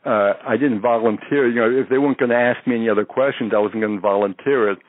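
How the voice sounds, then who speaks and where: A man's voice: medium pitch, fast. Man telling stories over a tinny line. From born in France, raised in the United States. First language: French. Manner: rambling, many fillers and restarts. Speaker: retired FBI undercover agent, guest. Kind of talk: interview storytelling. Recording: tinny phone-line audio.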